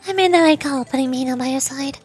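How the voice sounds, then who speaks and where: A woman voicing a composed, calculating young female student president in a game story, speaking in a measured, formal and aloof way. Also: high voice, a little mellow, faint music behind, natural voice. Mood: composed, commanding. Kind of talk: video game story character dub.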